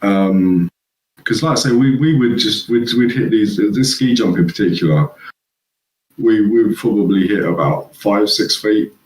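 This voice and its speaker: Rambling, low-voiced man in an echoey room. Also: measured pace, darkish tone. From England. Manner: rambling, laughs at own stories. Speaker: guest. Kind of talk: casual chat.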